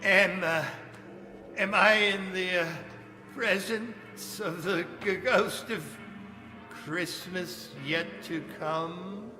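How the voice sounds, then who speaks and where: An actor playing a fearful, repentant old miser in a stage radio play, stammering and pleading in theatrical tones. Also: male voice, sits high, over music. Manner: halting, stammering, earnest and theatrical. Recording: echoing live hall stage recording.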